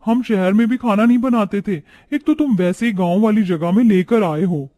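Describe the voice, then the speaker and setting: Guy playing sassy high voiced young city bride, haughty, defiant, drops english words, cartoon story. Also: normal speed, warm tone, lightly acted. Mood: indignant. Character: brazen, haughty modern city daughter-in-law, young woman.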